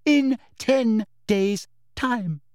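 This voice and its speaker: A man plays a condescending older woman official in an audiobook, high-pitched and sickly sweet. She stretches out each word with slow, ringing precision, as if to someone stupid. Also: no room sound, clean studio sound, full character voice. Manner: ringing, loud, slow, over-enunciated delivery.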